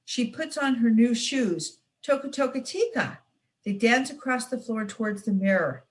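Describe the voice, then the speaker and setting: A young woman student reading a children's picture book aloud, expressive and playful with the sound words. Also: on the high side, normal speed. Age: young adult. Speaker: student reader.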